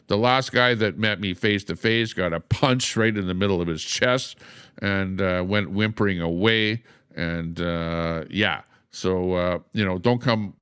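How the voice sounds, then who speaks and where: Older man, slow, smooth voice. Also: deep voice, a bit bright, dry recording, studio quality. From Canada. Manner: explains slowly, repeating "okay?" and many "uh"s. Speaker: livestream host. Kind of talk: casual livestream monologue.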